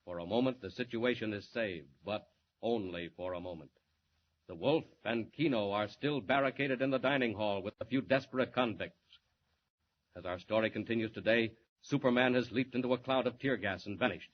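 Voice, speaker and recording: A man's voice: lowish voice, normal speed. Old-time radio announcer guy, big dramatic delivery, lo-fi transcription with faint hiss. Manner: declamatory, suspenseful. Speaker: announcer and narrator. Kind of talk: dramatic 1940s radio narration.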